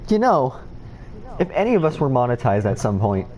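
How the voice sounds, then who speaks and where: A man at a middle pitch with a lively, up-and-down voice, commenting dryly on what he hears. His remarks come across as disgusted asides in casual chat among friends. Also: moderate pace, slight background noise, rough recording. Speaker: friend listening to the reading.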